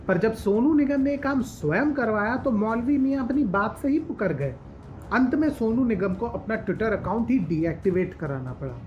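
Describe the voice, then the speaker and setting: News commentary voice-over, sex unclear: animated pitch movement, emphatic scripted read, lo-fi sound. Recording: dull lo-fi audio over music bed. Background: background music.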